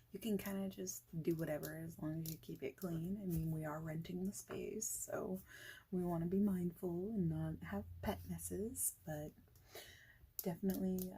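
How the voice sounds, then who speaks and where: Soft, low, creaky-voiced woman, half whispering. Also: a little hiss or hum, low-quality recording. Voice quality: soft, breathy, often dropping to a near whisper. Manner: casual, hesitant, rambling with many pauses and "like". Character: friendly informal female office boss. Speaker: ASMR roleplay creator playing a boss. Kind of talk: soft-spoken ASMR roleplay, informal job interview chat.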